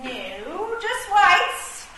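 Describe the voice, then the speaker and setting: Naive, squabbling little chess pawn played by a woman, animated and high, radio comedy, echoey noisy recording. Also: extremely slow, exaggerated voice. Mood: naive, teasing. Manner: plaintive, folksy, teasing. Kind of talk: comic radio play acting. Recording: roomy, distant, noisy stage recording.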